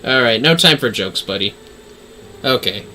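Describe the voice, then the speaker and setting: Very bright, animated male game streamer. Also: medium pitch, normal speed. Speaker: livestreamer. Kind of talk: casual game stream chat.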